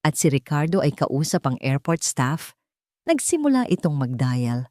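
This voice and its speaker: A Filipino woman narrates a dramatic audio story in a slightly low voice. The recording is dry and close, with very little room sound. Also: sing-song, measured pace, a little mellow, no room sound.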